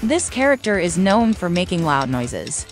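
A woman's voice: on the low side, big pitch swings, moderate pace. A woman reading scripted quiz narration as the video's host. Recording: voice-over under loud music. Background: loud music.

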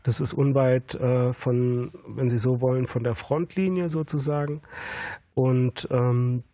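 A man's voice: mid-range voice, steady tone, unhurried, mellow. A man in his forties, a photographer from Germany, giving earnest, hesitant answers with many fillers and restarts in a studio interview. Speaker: guest photographer.

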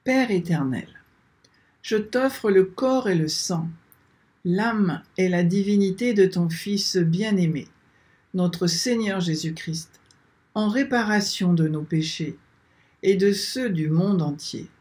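Older man slowly reciting a prayer. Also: high voice. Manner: steady, measured chanting of repeated invocations. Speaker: prayer leader.

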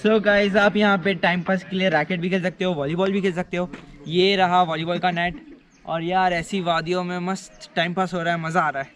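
Young male travel vlogger, eager and casual, outdoors with a handheld camera, light higher voice. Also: little pitch movement, fairly quick, a bit bright. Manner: chatty and enthusiastic, addresses viewers as guys. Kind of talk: casual travel vlog narration. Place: outdoors at a hillside campsite. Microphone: handheld camera at arm's length.

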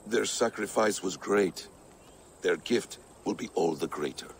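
An actor playing a grave, solitary warrior assassin, speaking in a measured, solemn way in a video game. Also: male voice, deep voice, gravelly, slight background noise. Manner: measured, solemn, sparing with words. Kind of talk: video game cutscene acting. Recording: loud game music behind.

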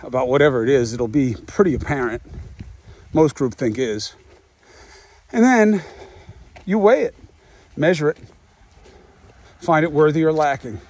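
A man's voice: fairly high pitch, unhurried, pauses often, darkish tone. A man in his fifties hosting a podcast, talking through a reflective monologue outdoors on a walk, musing and asking rhetorical questions. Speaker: podcast host. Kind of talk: reflective monologue while walking.

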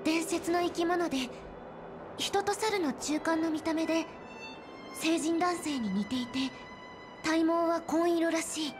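High, calm anime explorer girl. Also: a little slow. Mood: quietly intrigued. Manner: plain, understated, matter-of-fact. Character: calm, low-key young female snow explorer. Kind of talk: Japanese anime game voice acting. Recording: clean studio voice over game music.

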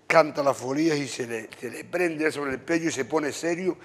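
A man in his fifties with a Canarian Spanish accent, hosting a casual chat in halting, repeated phrases. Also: a little high. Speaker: host. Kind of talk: casual chat before an audience. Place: busy wooden tavern with seated audience. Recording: live venue with clear background noise.

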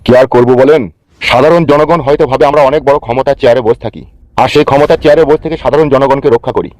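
A man's voice: mid-range voice, very fast, darkish tone, no echo, natural voice, poor audio. A man playing a stern, weary, crude-tongued senior police officer in a comic cartoon, lecturing bluntly. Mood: weary, resigned.

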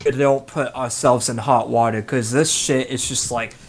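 A man's voice: mid-range voice, fairly even intonation, normal speed. A man co-hosting a podcast on an online call, chatting casually in a blunt, sweary, repetitive way. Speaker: podcast co-host. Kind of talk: casual chat.

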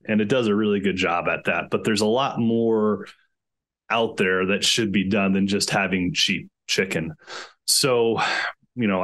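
A man's voice: fairly low pitch, a bit bright. A man in his thirties, an organic farmer, talking eagerly over a video call with many restarts. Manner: enthusiastic, rambling with many restarts. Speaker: organic chicken farmer, podcast guest. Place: small room indoors.

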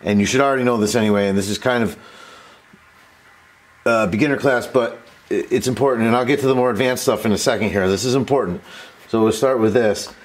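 A man's voice: medium pitch, a little fast. A man giving a casual hands-on tutorial, rambling and interrupting himself as he goes. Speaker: host of a repair tutorial. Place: indoor workbench. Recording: noticeable steady background noise.

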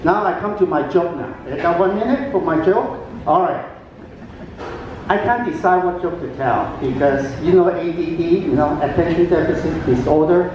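Brief: older man, Cantonese first language, high voice, chatty stand-up through an echoing ship PA, taped from far back. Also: highs cut off, background noise. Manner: chatty joke-telling with frequent pauses and fillers. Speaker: talent show contestant. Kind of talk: stand-up comedy. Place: large atrium on a cruise ship. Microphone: handheld microphone through a PA, filmed from afar. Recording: booming PA echo from a distant camera.

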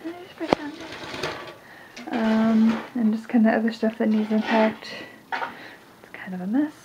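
A young woman with a fairly high voice chats her way through a house tour, rambling and breaking off into distracted asides. She is filmed on a handheld phone at arm's length as she walks around indoors. The audio is dull and muffled, with clear handling noise. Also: measured pace. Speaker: vlogger. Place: house, indoors, walking room to room. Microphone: handheld phone camera at arm's length.

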